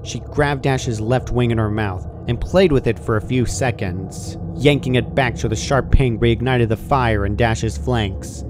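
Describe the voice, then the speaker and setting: A man's voice: medium pitch, unhurried, few pauses. A man reading a scripted story aloud as its narrator. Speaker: fan fiction narrator. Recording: clearly noisy home recording.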